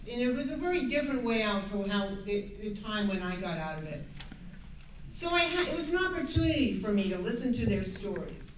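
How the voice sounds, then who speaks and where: An older American woman giving an earnest, emphatic, unscripted advocacy talk as a panel speaker, often halting and rephrasing as she goes. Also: on the high side. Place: echoey indoor room at a public panel event. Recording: echoey room, distant mic, background noise.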